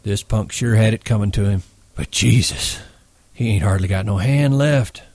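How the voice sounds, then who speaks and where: A man voicing a steady, respected ranch boss who talks in a measured, authoritative way. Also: lowish voice, no room sound.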